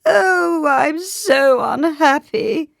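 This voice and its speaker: A woman voicing a miserable storybook king who sobs and wails his words in a drawn-out way. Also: on the high side, big pitch swings, no echo, studio quality, voice pushed up, exaggerated voice. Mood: sobbing, miserable. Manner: sobbing, drawn-out wailing. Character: tearful, wailing king of a miserable land.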